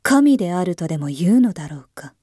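A woman voicing a world-tired figurehead emperor who speaks in archaic, imperious phrasing, musing slowly and half-whispering in a voice drama. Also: lowish voice, sing-song, mellow, breathy edge, dry recording, studio quality. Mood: brooding. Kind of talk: voice drama monologue.

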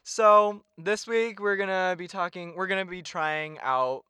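A young man talks with friends on a podcast in a bright, smooth, slightly raised voice. He is chatty, swears casually and laughs easily. The sound is dry and close, though he sits a little back from the mic. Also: high voice, steady tone, measured pace, no room sound. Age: young adult. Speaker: podcast co-host. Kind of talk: casual chat. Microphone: sits some way back from the mic.

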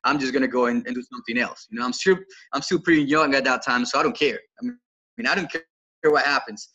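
Bright-voiced man with a Colombian accent. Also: medium pitch, quick pace, highs cut off. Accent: Colombian Spanish. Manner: earnest storytelling with many repeated words and restarts. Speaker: podcast guest, financial coach. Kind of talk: casual podcast interview.

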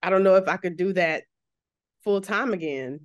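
A woman's voice: on the low side, normal speed, highs cut off. A woman with a Texan accent hosting a podcast, chatty, restarting phrases and laughing often. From Texas, USA. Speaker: podcast host, therapist. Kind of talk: casual podcast interview.

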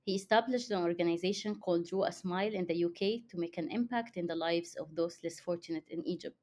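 A woman's voice: on the low side, quick pace, darkish tone. A woman hosting a podcast, speaking in a conversational interview style. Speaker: podcast host.

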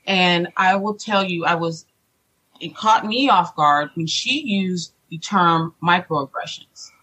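A middle-aged woman, a teacher being interviewed, talking in an earnest, deliberate, reflective conversational way. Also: on the low side, sharp tone, breathy edge, rough recording. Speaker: teacher, podcast guest.

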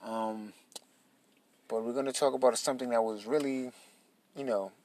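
A man's voice: mid-range voice, normal speed. Casting: easygoing man co-host, loose and rambling, with a teasing streak. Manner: relaxed, rambling with fillers, teasing. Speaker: podcast co-host. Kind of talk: casual chat.

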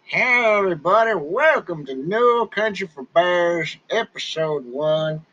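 A man's voice: sits high, lively intonation, unhurried, pushed and bright, highs cut off, exaggerated voice. A man playing a ranting bear podcaster. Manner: rambling hick grammar, angry ranting. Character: gruff grumbling male bear podcaster, ranting. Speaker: podcast host.

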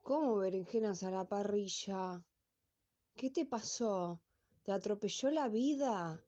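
A woman's voice: mid-range voice, slow pace, warm tone, lo-fi sound, slight background noise, lightly acted. A woman playing a blunt, sarcastic middle-aged classmate in a comic radio play, mocking and foul-mouthed, with an Argentine accent. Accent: Argentine, Rioplatense.

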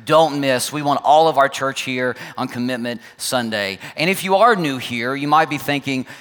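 A male pastor with a hoarse, laryngitis-strained voice, giving chatty, upbeat welcome announcements to his congregation and online viewers. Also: medium pitch, moderate pace, fairly bright tone. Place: large church sanctuary with congregation. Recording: long church reverb, faint music.

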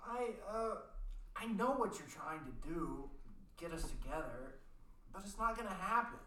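Earnest, guarded teen lad male performer, unhurried, amateur radio drama, roomy and off-mic. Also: fairly high pitch, expressive, sharp tone. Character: earnest, guarded teenage boy-next-door. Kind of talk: amateur radio play acting. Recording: roomy, distant mic, faint noise.

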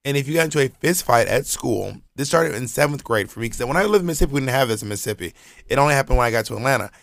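A man's voice: medium pitch, lively intonation, racing, vocal fry, no room sound. Man telling stories on a podcast. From Georgia, US. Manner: loose, rambling storytelling with frequent restarts and slang. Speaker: podcast co-host. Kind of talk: casual podcast chat.

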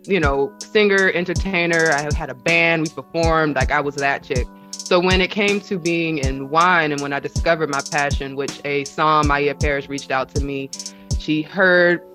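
A woman with a low, slightly raspy voice talks as a guest on a casual podcast. She is playful and quick to laugh. Music plays clearly behind her. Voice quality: deep, raspy voice. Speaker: guest, wine consultant.

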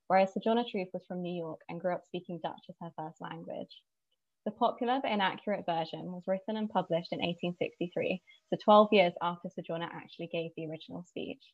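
Woman, measured prepared delivery, lower voice, dry, muffled sound. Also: no echo. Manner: measured, earnest, reading from notes. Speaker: speaker presenting an inspiring woman. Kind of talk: prepared spoken tribute.

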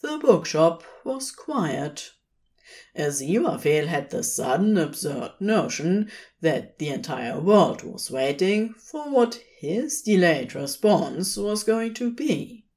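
A woman narrates a piece of fiction in a slightly low voice. Her reading is slow and expressive, with pitch that moves in a lively way from phrase to phrase. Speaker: podfic narrator.

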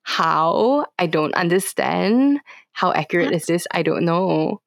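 A woman's voice: on the low side, expressive, measured pace, gravelly, no echo, studio quality. A young woman chatting casually as a podcast guest, in a playful, slangy way. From Singapore. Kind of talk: casual interview chat.

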